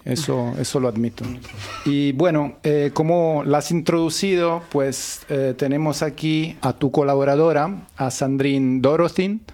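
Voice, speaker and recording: A man's voice: fairly high pitch, darkish tone. A man hosting a radio show, introducing guests in a chatty, joking way. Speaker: radio host. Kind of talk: on-air radio interview.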